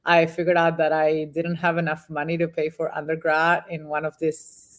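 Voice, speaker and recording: A woman's voice: sits low, completely flat delivery, normal speed. A woman from Venezuela in her thirties, a former pro volleyball player telling her story in a casual interview, with frequent ums and restarts.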